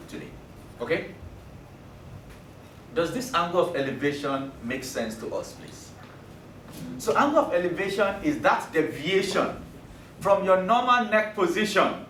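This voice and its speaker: A man's voice: mid-range voice, fairly bright tone, far from the mic, a little hiss or hum. A man whose first language is Yoruba, teaching a classroom math lesson with jokes, often checking that his students follow. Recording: roomy classroom recording from afar.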